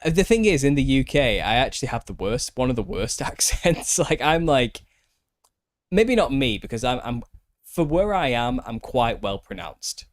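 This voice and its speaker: A man's voice: medium pitch, sing-song, normal speed, no room sound. A man with a Lancashire accent from northwest England chats casually as a podcast host, rambling and self-deprecating. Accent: Northern English, Lancashire. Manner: chatty, rambling, self-deprecating, laughs often. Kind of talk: casual chat.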